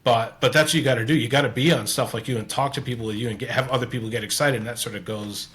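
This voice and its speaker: A man with a Canadian accent speaks at a middle pitch. He hosts a casual interview chat, talking earnestly and restarting his sentences as his thoughts run on. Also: fairly even intonation, racing, a bit bright. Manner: rambling, restarts often, earnest. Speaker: podcast host.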